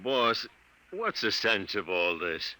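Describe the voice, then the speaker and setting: An actor playing a dim, good-natured, flirty detective sidekick in a 1950s radio drama, talking slangy and plain. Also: male voice, fairly low pitch, big pitch swings, takes it slowly, sharp tone, full character voice. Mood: dim, earnest. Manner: slangy, uneducated, plain-spoken. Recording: restored 1950s radio recording with music.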